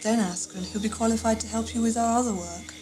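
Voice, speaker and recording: A woman's voice: on the high side, fairly even intonation, fast talker, warm tone, soft background music, close to an everyday voice. A woman playing a devoted, coolly practical assistant to a villain in an audio drama. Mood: inquiring.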